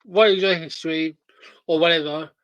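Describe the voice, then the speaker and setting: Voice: medium pitch, steady tone, moderate pace, fairly bright tone, no room sound, lo-fi sound. A podcast host in a casual reaction chat, rambling and profane with many fillers.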